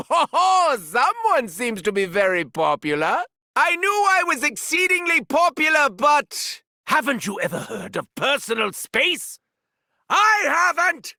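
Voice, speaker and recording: An actor playing a gleeful, sadistic mad scientist villain in a video game, theatrical and taunting, with a German accent. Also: male voice, very high voice, bright, forceful tone, no room sound, studio quality, heavily acted. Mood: exasperated glee. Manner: theatrical, swooping from gleeful shrieks to sinister murmurs. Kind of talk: video game character voice lines.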